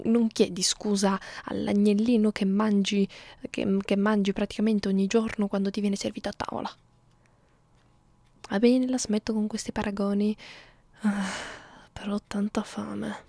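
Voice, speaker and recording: A woman voicing a hungry, clingy vampire girlfriend in an intimate ASMR roleplay, murmuring softly and slipping into breathy whispers. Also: mid-range voice, dry recording. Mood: hungry, halting. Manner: coaxing, halting, close-up murmuring with small hums. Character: hungry immortal female vampire girlfriend, clingy, teasing, predatory.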